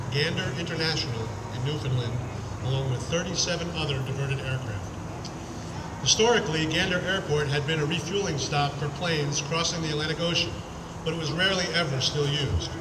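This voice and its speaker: Man reading a memorial account outdoors. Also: a little high. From Connecticut. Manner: earnest, measured reading. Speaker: speaker at a memorial service. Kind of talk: reading a prepared personal account. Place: outdoor town green. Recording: echoey, distant outdoor PA recording with loud noise.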